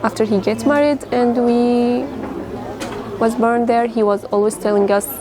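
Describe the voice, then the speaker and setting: A young woman from Syria, telling her family's story in an interview, halting and full of fillers. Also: fairly high pitch, fairly even intonation, background noise. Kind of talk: personal storytelling.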